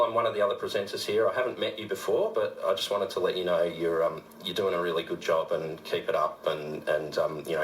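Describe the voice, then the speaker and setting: An Australian man working as a radio presenter, giving an informal on-air tribute with a halting delivery. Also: low voice, a bit bright. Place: radio studio. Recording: roomy studio with faint noise.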